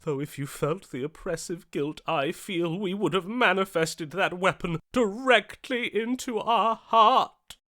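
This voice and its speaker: Slow, melodramatic lovesick romantic hero. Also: male voice, high-pitched, bright, forceful tone, no echo, clean studio sound. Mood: guilty brooding. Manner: theatrical, florid, archaic declamation. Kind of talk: video game voice acting.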